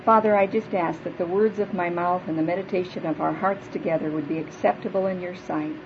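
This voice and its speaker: A woman's voice: on the low side, steady tone, brisk. Woman in her fifties, big voice, earnest sermon, muffled lo-fi recording, faint hiss. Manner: earnest, prayerful, plainspoken. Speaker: speaker at a women's church retreat. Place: hall before a live audience. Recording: dull lo-fi live recording with faint noise.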